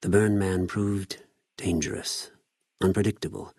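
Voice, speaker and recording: An actor playing a cold, cunning spy of a Roman-styled slaver army in a video game, speaking in a measured, formal, menacing way with precise diction. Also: male voice, low voice, level tone, gravelly, darkish tone, no echo, clean studio sound, exaggerated voice. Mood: cool, calculating. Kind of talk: scripted video game character dialogue.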